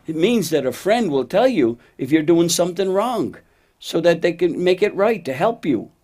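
A man voicing a steady, kindly cow who talks plainly and patiently, gently teaching, in a children's puppet show. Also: high voice, moderate pace. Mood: gently instructive. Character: steady, faithful cow, a wise kindly friend.

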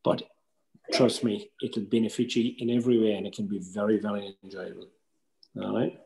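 A man's voice: fairly low pitch, little pitch movement, moderate pace, gravelly, mellow. An older man with an Irish accent giving an informal, earnest workshop talk over a video call, conversational and emphatic. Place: indoor room at home, on a video call. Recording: roomy home video-call audio.

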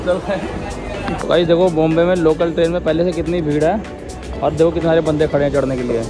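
A man's voice: fairly high pitch, fairly even intonation, fast talker. A man, chatting casually to a handheld camera as a travel vlogger. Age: 20s. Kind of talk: casual vlog chat to camera. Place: outdoors at railway stations and aboard trains. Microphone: handheld camera at arm's length. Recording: loud music over handheld outdoor recording. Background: loud music.

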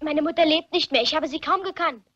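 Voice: sits high, fairly quick, sharp tone, muffled recording. A performer playing a cheeky, precocious schoolboy in a radio play, blunt, glib and pert. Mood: gossipy, glib. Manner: pert, glib, mock-grown-up. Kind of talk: German radio play acting.

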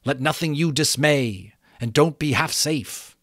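A flighty, nervous little butterfly, high and sing-song, as a man puts him on. He stretches his words out theatrically. The sound is dry and close. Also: unhurried, a bit bright, dry recording. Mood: urgent.

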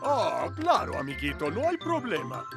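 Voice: medium pitch, wildly swooping pitch, normal speed, creaky, over loud music. An actor voicing a big, drowsy and good-natured cartoon dragon in Latin American Spanish.

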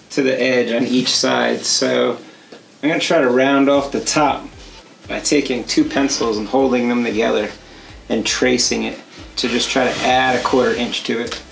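Gravelly man talking through a build. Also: medium pitch, a little hiss or hum. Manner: casual, plainspoken, explains as he works. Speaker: DIY show co-host. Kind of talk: step-by-step craft tutorial. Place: home workshop studio, indoors. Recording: roomy workshop echo.

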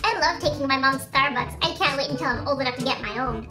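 A woman's voice: high voice, fast, recorded from a distance, over music, natural voice. A woman putting on a bored grumbling little girl. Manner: whiny, deadpan complaining. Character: bored young girl model on a toy box, grumbling.

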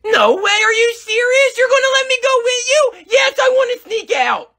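A woman voicing an excitable, whiny little boy who tattles on his brothers in a family comedy skit. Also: very high voice, moderate pace, full character voice. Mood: excited. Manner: whiny, exclamatory.

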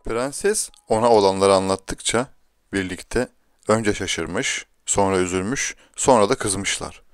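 A man's voice: lowish voice, slow, no room sound, studio quality. A man reading a scripted fairy tale to children as a storyteller.

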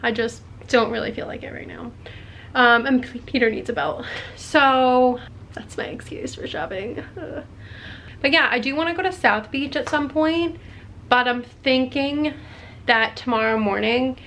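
A woman's voice: sits high, unhurried, a bit bright, slight background noise. A young woman in a small hotel room, talking casually to a handheld camera about her day, rambling with lots of filler words. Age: young adult. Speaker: vlogger. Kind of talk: casual travel vlog chat. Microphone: handheld camera at arm's length.

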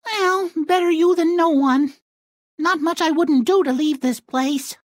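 A woman's voice: very high voice, measured pace, dry recording, clean studio sound. A woman voicing a spoiled, whiny, rather dim young princess in a fairy-tale video game, petulant and melodramatic. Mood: dim, sniffy.

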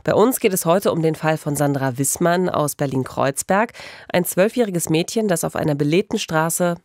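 A woman's voice: sits low, normal speed, darkish tone, no echo, studio quality. A woman co-hosting a true-crime podcast, telling and asking about a case. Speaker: podcast co-host, journalist.

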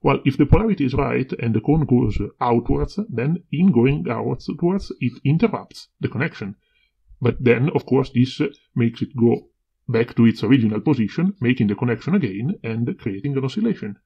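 A man presents an informal, hands-on tutorial in a mid-pitched voice. His tone is husky with a gravelly edge of fry. The audio is somewhat dull, like a homemade video. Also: lively intonation, moderate pace, warm tone. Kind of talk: informal hands-on demonstration and explanation.

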